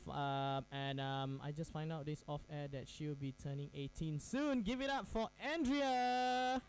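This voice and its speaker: A man's voice: fairly high pitch, measured pace, slight background noise. A man hosting a campus radio interview, chatty, laughing often, with lots of fillers and restarts. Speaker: radio host.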